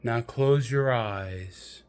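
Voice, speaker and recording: A man narrating a guided meditation in a deliberate, measured storytelling manner with pauses. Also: medium pitch, a little mellow, clean tone.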